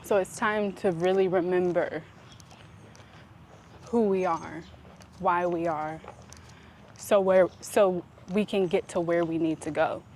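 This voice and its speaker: A woman speaking reflectively and conversationally as a vlog host, with deliberate, halting phrasing and emphatic pauses. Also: on the low side, fairly even intonation, darkish tone. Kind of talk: reflective conversational talk while walking. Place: outdoors, walking up a paved hill. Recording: noisy outdoor recording with traffic.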